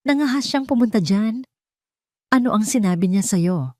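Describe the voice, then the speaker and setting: A woman's voice: medium pitch, lively intonation, moderate pace, a little mellow, no echo, clean studio sound, pitched above the performer's own voice, natural voice. A woman voicing a hard, angry, controlling rich mother in an audio drama. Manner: hard, commanding.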